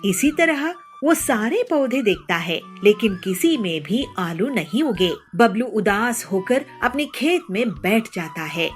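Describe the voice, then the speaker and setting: A woman's voice: mid-range voice, very animated, takes it slowly, few pauses, soft background music. A woman narrating a scripted children's moral story.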